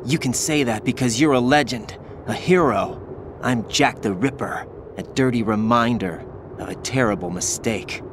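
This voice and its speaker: A man's voice: mid-range voice, normal speed, noisy background, natural voice. An actor in a video game cutscene playing a troubled young male soldier, intense and questioning. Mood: troubled, brooding.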